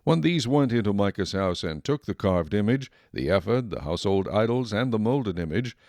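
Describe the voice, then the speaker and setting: A man reading scripted Bible narration in a measured, solemn storytelling manner. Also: fairly low pitch, animated, darkish tone, dry recording, studio quality. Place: dry studio.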